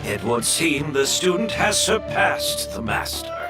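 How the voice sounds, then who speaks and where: An actor playing a genial, modest older perfume master and fond mentor in a video game, speaking expressively. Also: male voice, fairly high pitch, big pitch swings, unhurried, loud music behind the voice. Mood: delighted.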